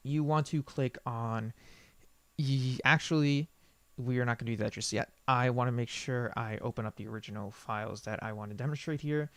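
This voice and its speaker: A man's voice: mid-range voice, normal speed, fairly bright tone, no room sound. Male tutorial presenter, informal and rambling, self-correcting, unscripted walkthrough style, dry close sound. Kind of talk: unscripted software screen-recording tutorial.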